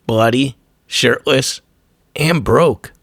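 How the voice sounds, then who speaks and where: A man delivers scripted documentary narration in a gravelly, measured voice. His pitch moves around in an animated way as he hits short, punchy, profane phrases. The sound is dry. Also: no echo.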